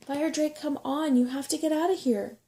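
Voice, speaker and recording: A woman's voice: high voice, fairly even intonation, fairly quick, dark tone, slight background noise, voice pushed up, natural voice. A woman voicing a small, bossy, grumbling female brownie with an urgent, scolding manner in a storybook.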